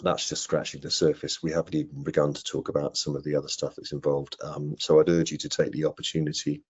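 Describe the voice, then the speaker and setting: A middle-aged man answering technical questions in an online webinar, explaining things in a measured, careful way. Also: rumbling low, level tone, breathy edge. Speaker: product presenter answering questions. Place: indoor room on a video call. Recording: muffled video-call audio.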